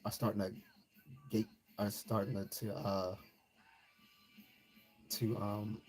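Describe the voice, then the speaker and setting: A man's voice: lowish voice, level tone, slow pace, slightly rough, soft background music, low-quality recording. An American man hosting a live stream, giving a casual, blunt, conversational monologue with some slang. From United States. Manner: blunt, conversational, slangy, mimics people he quotes. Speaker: host of a live commentary stream. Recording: noticeable background noise.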